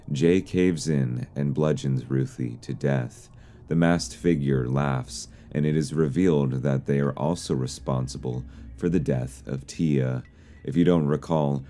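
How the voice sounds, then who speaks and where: A man's voice: a bass voice, warm tone, slight background noise. A man giving scripted narration as the narrator of an explainer video.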